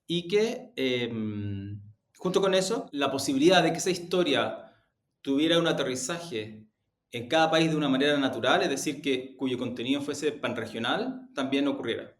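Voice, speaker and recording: A man giving measured, reflective answers as an interviewed executive. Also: medium pitch, lively intonation. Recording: roomy, echoing room.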